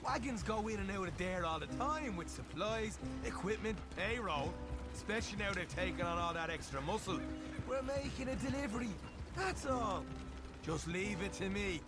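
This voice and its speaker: An actor playing a brash, foul-mouthed young Irish outlaw in a video game. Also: male voice, high-pitched, animated, normal speed, over loud music. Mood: cocky. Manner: brash, boastful, talkative.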